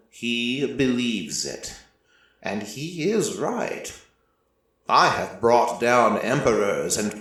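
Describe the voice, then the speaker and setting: A man playing an ancient, merciless deity who narrates a story in a measured, solemn way with deliberate pauses. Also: medium pitch. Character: ancient merciless deity, male-voiced, reflective and quietly fond. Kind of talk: scripted first-person dramatic narration. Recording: echoey room, slightly distant from mic.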